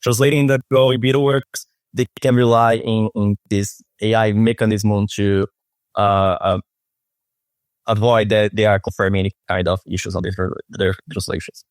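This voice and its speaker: A man in his thirties with a Brazilian Portuguese accent, a native speaker of Portuguese, walks through a software demo on a video call. He often restarts and repeats words. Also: lowish voice, flat delivery, moderate pace, no echo. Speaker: product specialist guest. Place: office with shelves. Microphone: webcam on video call.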